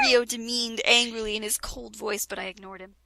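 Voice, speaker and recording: A woman's voice: a little high, huge pitch swings, moderate pace, gravelly, bright, forceful tone, no room sound. A woman reading a parody fan fiction aloud with a friend, giggling and breaking into laughter and sarcastic asides. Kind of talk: comedic dramatic reading with a friend.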